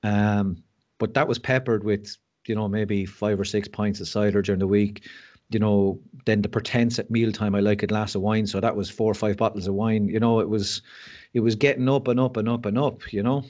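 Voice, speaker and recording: Irish man, lowish voice, rambling candid storytelling, self-deprecating, podcast guest. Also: steady tone, moderate pace, darkish tone. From Ireland. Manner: frank, self-deprecating, rambling with many fillers and restarts.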